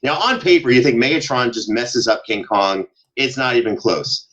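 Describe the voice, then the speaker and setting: A man chatting casually as a podcast guest, telling animated stories and acting out the exchanges he quotes. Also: medium pitch, moderate pace, highs cut off. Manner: banters, acts out quoted exchanges. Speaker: podcast guest, show co-host and audio drama producer.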